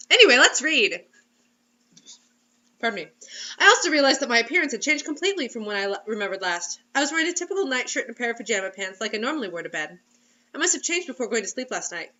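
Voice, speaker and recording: A woman's voice: on the high side, pushed and bright, dull audio. A woman reading a story aloud as host in a playful, theatrical, mocking way, breaking into casual comic asides. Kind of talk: comedic dramatic reading with casual commentary.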